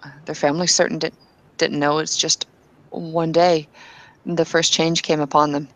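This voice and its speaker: A woman playing Bettina, an earnest and devout kinfolk woman, arguing insistently with halting restarts in a tabletop roleplay. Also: sits low, level tone, a bit bright, poor audio. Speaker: player character.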